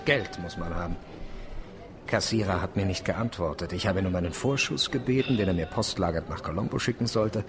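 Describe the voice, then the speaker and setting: An actor playing a callous, pompous, sardonic big-game hunter and writer in a radio drama. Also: male voice, low voice, brisk, a crowd in the background. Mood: sardonic, sour. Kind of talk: theatrical radio drama acting.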